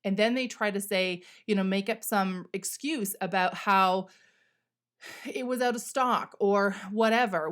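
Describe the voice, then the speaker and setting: Candid woman in casual solo podcast talk. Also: mid-range voice. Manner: candid, conversational, salty language. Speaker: podcast host.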